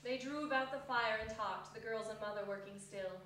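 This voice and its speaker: Distant in a very reverberant hall, a woman reads a story aloud as narrator. Her voice is fairly high, in a staged storytelling style. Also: a little fast, a bit bright. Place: arts centre hall, stage reading. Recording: very echoey hall, distant, faint noise.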